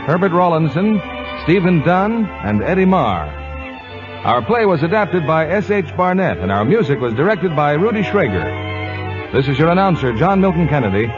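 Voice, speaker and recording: An American man working as a radio announcer, reading scripted 1950s copy with a polished, emphatic sales delivery. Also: a little high. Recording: muffled vintage radio broadcast, music behind.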